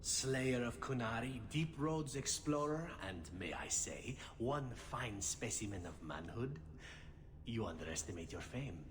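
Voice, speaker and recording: Flirtatious game rogue, suave, teasing, very animated intonation, noticeable ambient noise. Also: male voice, mid-range voice, a little slow. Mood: smooth, flattering. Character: flirtatious, roguish male adventurer and former assassin. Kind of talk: video game character acting.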